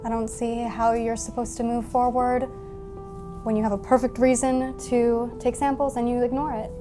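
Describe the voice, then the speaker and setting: A woman's voice: on the high side, level tone, normal speed. A woman playing a science officer in a casual tabletop roleplaying game. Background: clear background music.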